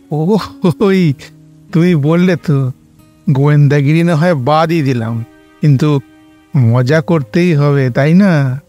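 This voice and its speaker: A man's voice: a little high, warm tone. A man playing a jolly, fun-loving middle-aged uncle in an audio drama, talking playfully. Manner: playful, chuckling, drawn-out talk. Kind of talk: Bengali audio drama acting. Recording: music bed underneath.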